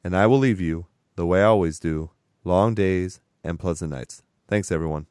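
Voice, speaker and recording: Hoarse raspy man hosting a podcast. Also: deep voice, normal speed, darkish tone, no room sound, studio quality, soft background music. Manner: chatty, rambling, laughs often. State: hoarse, voice strained from sickness. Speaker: podcast host. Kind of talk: casual podcast chat.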